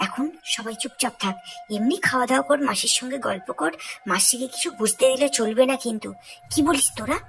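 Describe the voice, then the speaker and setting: A woman's voice: fairly high pitch, fast talker, faint music behind, pitched above the performer's own voice, natural voice. A woman voicing a curious, bossy young friend in a horror cartoon, chatty and emphatic. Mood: bossy, plotting.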